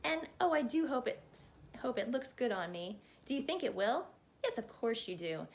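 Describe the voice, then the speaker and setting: A woman voicing an excitable storybook chatterbox man who rambles on breathlessly and keeps interrupting himself. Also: fairly high pitch, moderate pace, gravelly. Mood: excited, gushing.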